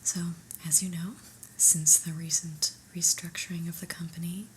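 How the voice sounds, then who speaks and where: A woman playing an unimpressed, politely corporate new manager in a soft, whispered ASMR roleplay, with a measured, dryly disapproving manner. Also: fairly low pitch, flat delivery, a little hiss or hum, lightly acted, low-quality recording. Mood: politely businesslike.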